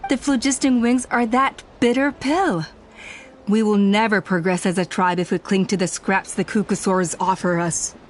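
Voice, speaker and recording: An actress playing a proud, self-righteous clan elder woman in a video game, speaking in measured, formal, persuasive speeches. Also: medium pitch, fairly even intonation, a little hiss or hum, natural voice. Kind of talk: scripted video game voice acting. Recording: music under the voice.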